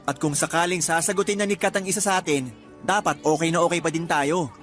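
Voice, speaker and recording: A male actor playing an upbeat, loyal young suitor in a Filipino radio drama, chummy and animated. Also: fairly high pitch, fast talker, soft background music, natural voice. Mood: nervous, earnest.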